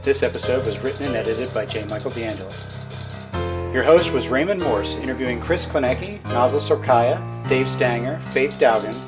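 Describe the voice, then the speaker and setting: A man's voice: mid-range voice, moderate pace. A man delivering scripted closing credits as an announcer. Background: music with guitar.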